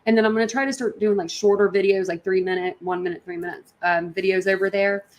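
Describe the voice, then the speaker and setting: A woman's voice: mid-range voice, steady tone, quick pace, dull audio. A woman hosting a livestream, chatting casually with viewers in a rambling, informal way full of slang. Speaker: livestream host. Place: indoors in an apartment.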